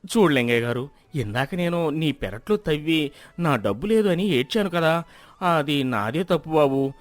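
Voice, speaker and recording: A man's voice: fairly high pitch, lively intonation, moderate pace, no room sound, close to an everyday voice. A man voicing a meek, flustered village man in a moral story, hesitant and apologetic.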